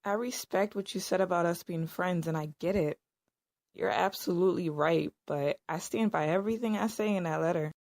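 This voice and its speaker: A woman's voice: fairly low pitch, moderate pace, a little mellow, no echo, clean studio sound, natural voice. An actress playing a young married woman in an audio drama, narrating and talking in a wry, conversational way. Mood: earnest. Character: Iris, weary young married woman narrating her own story. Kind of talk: first-person audio drama narration and dialogue.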